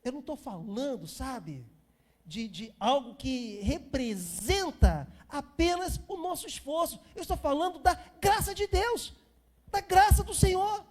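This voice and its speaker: A man's voice: very high-pitched, expressive, measured pace. A Brazilian man in his fifties preaching an informal sermon as a pastor, repeating phrases for emphasis and chatting to the congregation. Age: 50s.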